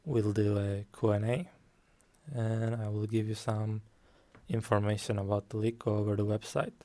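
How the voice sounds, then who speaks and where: Male streamer, calm and unhurried, dark gravelly tone, desk mic at home. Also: fairly low pitch. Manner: slow, calm, soft-spoken with frequent ums. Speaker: guest streamer. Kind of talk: casual game stream commentary.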